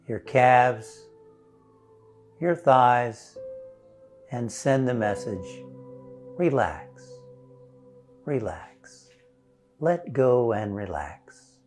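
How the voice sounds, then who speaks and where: A man leading a guided meditation as a minister, speaking in a gentle, soothing, unhurried way with long pauses. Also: medium pitch. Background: instrumental music.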